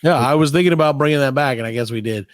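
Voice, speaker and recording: A man's voice: medium pitch, very fast. A man hosting a comedy podcast, casually swearing and riffing in wry mock quotes. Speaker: podcast host.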